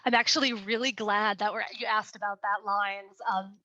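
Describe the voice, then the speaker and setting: A young woman reading her poem slowly and with care, then answering questions in an earnest, chatty way over a video call. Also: mid-range voice, steady tone, sharp tone. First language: English. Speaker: poet reading her work. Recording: dull lo-fi video call audio.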